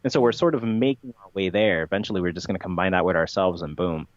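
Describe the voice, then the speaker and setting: Low-pitched man in dull, echoey audio. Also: quick pace. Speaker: podcast guest, interviewee. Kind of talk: casual conversational interview.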